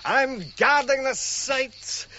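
A muffled radio drama recording with faint noise carries an emotional man who drinks too much. His voice is high and sharp, his delivery halting, bitter and protesting. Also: steady tone, full character voice. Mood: drunken bitterness. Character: Mackenzie, emotional, bitter, hard-drinking archaeologist's assistant.

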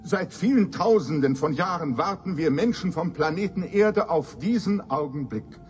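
Male actor as pompous research professor, radio play. Animated mid-range voice, florid phrasing, music underneath. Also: a little high, moderate pace, natural voice. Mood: awed, solemn. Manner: florid, theatrical, formal. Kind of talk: German radio drama acting.

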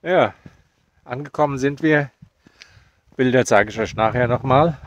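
A man in his fifties casually vlogging while out on a walk, chatty and relaxed with many fillers, filmed on a phone held at arm's length. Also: mid-range voice, a little slow. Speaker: caravan vlogger. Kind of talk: casual vlog narration while walking. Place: outdoors on a foggy field path.

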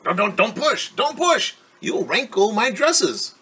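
Guy doing whiny vain little storybook girl, pitched up, begging and fussing, bit husky. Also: big pitch swings, slow pace, sharp tone, heavily acted. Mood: indignant. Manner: pleading and protesting, repeats herself insistently. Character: vain, whiny young girl weighed down by finery.

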